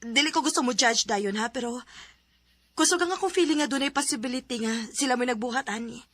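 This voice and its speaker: A woman plays a talkative schoolgirl confidante in a Filipino radio drama. The voice is very high, recorded close and dry, with a Cebuano accent. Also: brisk, fairly bright tone, no room sound. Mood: anxious. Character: chatty, teasing schoolgirl best friend.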